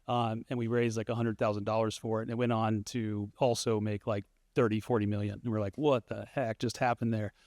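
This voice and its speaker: A man's voice: lowish voice, level tone, fairly quick, few pauses, darkish tone, dry recording, studio quality. A man on a conference panel answering an audience question in a loose, conversational way, with many fillers and restarts. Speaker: panelist, studio founder.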